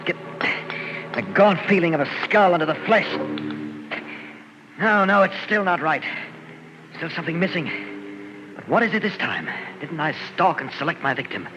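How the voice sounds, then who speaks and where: On a dull, very noisy old radio recording, a man acts a deranged sculptor who thinks he is Death. He is theatrical and grandiose, and his pitch swings widely. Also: animated, normal speed, pauses often, natural voice. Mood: brooding, grandiose. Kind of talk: old-time radio drama acting.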